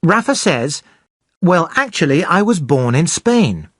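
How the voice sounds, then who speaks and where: Slow, clear English teacher. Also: mid-range voice, sing-song, no echo, clean studio sound. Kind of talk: scripted teaching narration.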